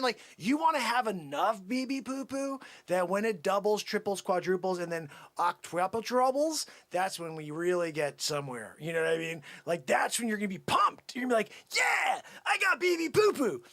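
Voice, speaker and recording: A man acts out a sleazy, boastful crypto cold-caller in an improvised comedy. His husky voice sits high and swings wildly, bright and forceful. He wheedles, repeats himself and brags without shame. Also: animated, a little fast, hardly any pauses. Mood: slick, coaxing. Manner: brash, wheedling, repetitive, swinging between whispers and yells. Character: pushy, bragging, sleazy cold-call crypto salesman. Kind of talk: improvised comic sales patter.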